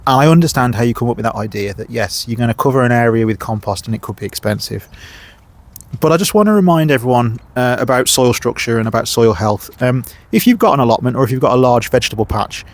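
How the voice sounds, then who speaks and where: A man's voice: mid-range voice, expressive, fast talker. Sniffly man chatting at his allotment. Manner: chatty, lots of uhs and ums. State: sniffly with a cold. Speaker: gardening channel host. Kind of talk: casual how-to vlog. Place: outdoor allotment by a main road.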